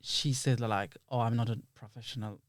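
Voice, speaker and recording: Guy with as chatting in an interview, average pitch, really dry recording, acts out little quotes. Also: fairly even intonation, normal speed, no room sound. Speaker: interview guest, filmmaker and actor. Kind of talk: casual conversational interview.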